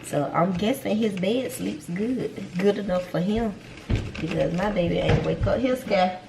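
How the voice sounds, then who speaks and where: A woman's voice: medium pitch, measured pace, vocal fry, noisy background. A young woman with a Southern accent, a mom chatting casually to her viewers in a home vlog, stuffy-nosed and rambling, often repeating herself. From North Carolina. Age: young adult. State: stuffy nose. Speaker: vlogger and mom. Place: home kitchen.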